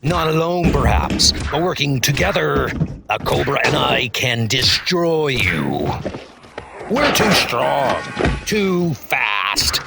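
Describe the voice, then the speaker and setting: A man's voice: medium pitch, big pitch swings, takes it slowly, creaky, heavily acted. A man voicing a boastful, menacing supervillain in a comic book dub, with a taunting, theatrical manner. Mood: gloating menace. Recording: music under the voice.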